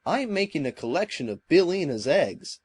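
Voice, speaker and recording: A woman's voice: low-pitched, very animated, moderate pace, darkish tone, husky, no room sound, heavily acted. A woman playing a good-natured, earnest male scarecrow in a children's storybook reading. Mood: friendly.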